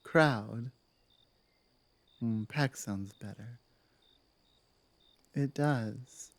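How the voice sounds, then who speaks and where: A woman playing a dominant but softening werewolf pack leader in an ASMR roleplay, speaking in a measured, earnest way with thoughtful pauses. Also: a bass voice, dry recording, full character voice. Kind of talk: ASMR roleplay, close one-on-one address.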